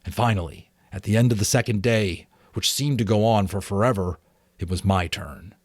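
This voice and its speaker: A man voicing a gentle, shy father who earnestly recalls his childhood in an audiobook. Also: fairly low pitch, normal speed, dry recording, clean studio sound, natural voice. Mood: fond reminiscing. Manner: earnest, reminiscing.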